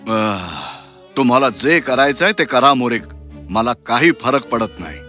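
A man playing a grieving, weary emperor in an animated fairy tale, speaking in a listless, curt, sighing way. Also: mid-range voice, a little slow, soft background music.

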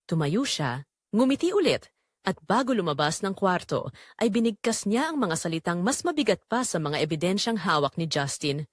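Filipino woman narrator, expressive and dry-miked. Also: fairly low pitch, moderate pace, no room sound. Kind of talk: scripted dramatic story narration.

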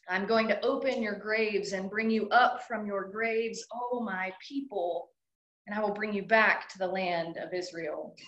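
A woman leading morning worship reads scripture at an unhurried, deliberate pace. Her voice sits at an ordinary middle pitch. The sound rings through a roomy, reverberant hall. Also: a bit bright. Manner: slow, measured reading. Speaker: worship leader. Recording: echoey hall.

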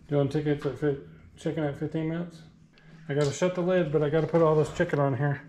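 A man's voice: fairly high pitch, a little mellow, a little hiss or hum. A man in his thirties filming a casual cooking vlog in his home kitchen, joking and chatty with lots of fillers. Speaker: vlogger cooking meal prep.

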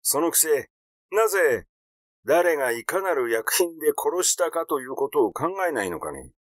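A man's voice: fairly high pitch, very animated, moderate pace, no echo, clean studio sound. A man voicing a blunt, teasing amateur sleuth in an audiobook, talking in a casual, insinuating and mocking way. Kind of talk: audiobook character dialogue.

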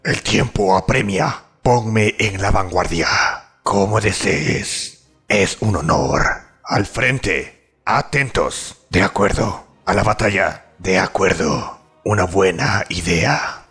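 A man voicing a proud, menacing masked swordsman from a video game, with a gravelly, raspy voice, solemn clipped lines and a Latin American Spanish accent. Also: sits low, takes it slowly, a bit bright, soft background music, heavily acted. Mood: solemn, confident. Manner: solemn, clipped battle declarations. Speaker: fan dub voice actor. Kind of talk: fan dub of video game hero lines.

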